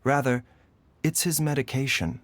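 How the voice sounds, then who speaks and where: An actor playing a composed, courteous male doctor in a game, speaking in a calm, formal and precise way. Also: medium pitch, moderate pace, close to an everyday voice. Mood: measured. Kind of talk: game voice acting.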